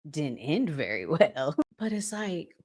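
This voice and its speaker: A woman's voice: sits low, very animated, slow, a little mellow. A woman hosting a relationship podcast, talking to viewers in an emphatic, preachy and informal way, often with rhetorical questions. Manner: informal, colloquial phrasing. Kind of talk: conversational opinion talk to viewers.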